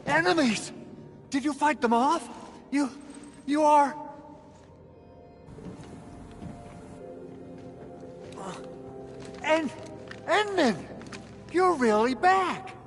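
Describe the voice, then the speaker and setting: A man voicing an excitable, melodramatic chief engineer in a video game, effusive and easily flustered. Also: very high-pitched, takes it slowly, long pauses, big-room sound, soft background music, heavily acted. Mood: startled, excited.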